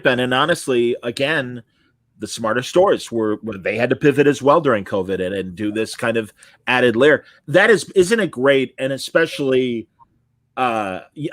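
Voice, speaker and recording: Guy hosting a chat show, normal pitch, very dry audio, casual and super affirming. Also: big pitch swings, normal speed, no echo. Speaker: interviewer and host.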